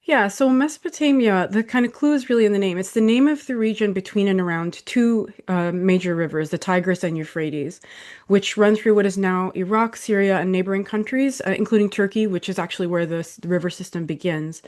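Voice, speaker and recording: A woman's voice: mid-range voice, brisk. A middle-aged woman from Saudi Arabia, a historian, giving thoughtful, chatty answers in an interview over a video call. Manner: thoughtful, warm, with soft laughs and fillers. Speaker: historian guest.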